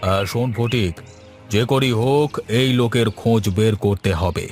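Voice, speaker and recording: A man plays a suspicious village boss in a moral-story cartoon. He is mid-pitched, impatient and commanding, firing questions. Quiet music is underneath. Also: moderate pace, natural voice. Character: suspicious, bossy village master.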